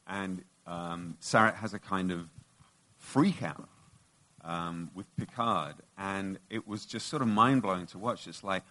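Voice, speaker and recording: A man's voice: low-pitched, measured pace, bright, forceful tone. A British man answering questions on a panel with dry, self-mocking humor. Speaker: actor on a panel. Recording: noisy recording.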